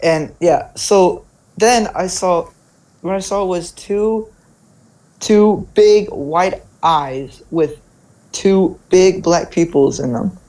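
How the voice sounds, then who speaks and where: Higher-voiced young man telling stories. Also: slow pace, a little mellow, low-quality recording. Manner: informal, peppers talk with "right?" and "like". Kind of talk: casual spoken storytelling.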